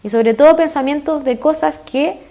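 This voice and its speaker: A woman's voice: sits high, moderate pace, mellow. Chilean woman explaining mindfulness earnestly. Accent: Chilean Spanish. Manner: earnest, explanatory, with frequent fillers. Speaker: mindfulness guest expert. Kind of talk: explanatory interview talk.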